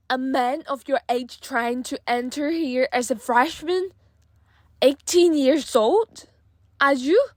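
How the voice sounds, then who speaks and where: Actress as disbelieving registrar, haughty, stern, high pitch, slow deliberate delivery, radio drama. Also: female voice, no echo, studio quality. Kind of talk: amateur radio drama acting.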